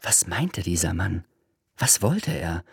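A man playing the measured narrator of an uneasy literary radio drama, reading scripted prose. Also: low voice, sing-song, creaky, slightly rough, dry recording, studio quality, heavily acted.

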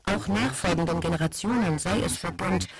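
An actress playing a composed radio news reader, delivering a scripted bulletin formally and with measure. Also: female voice, on the low side, brisk, close to an everyday voice. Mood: formal, neutral.

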